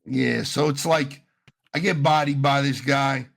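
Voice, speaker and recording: A man's voice: medium pitch, measured pace, gravelly. A man telling gaming stories on a casual podcast in slangy, profane talk, acting out the exchanges as he goes. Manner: slangy, profane, animated retelling with acted-out quotes. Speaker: podcast host.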